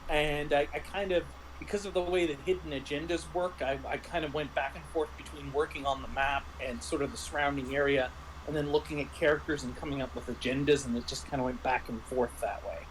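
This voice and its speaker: Halting man explaining over noisy stream audio. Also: on the high side, steady tone. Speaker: game master and guest. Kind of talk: casual explanatory chat. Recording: clearly noisy livestream audio.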